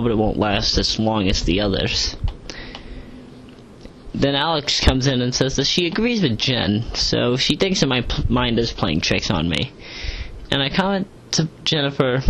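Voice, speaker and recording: Male, mid-pitched, halting and stiffly formal audio-log talker, noisy home recording. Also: a bit bright. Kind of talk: rambling audio log reading out comments with asides.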